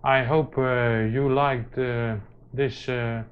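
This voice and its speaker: A man's voice: mid-range voice, little pitch movement. A man gives an unscripted how-to demonstration, hesitating often with many filler sounds. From Netherlands. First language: Dutch. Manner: hesitant, halting delivery with very frequent filler sounds. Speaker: tech tutorial presenter. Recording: dull lo-fi camera audio, clear noise.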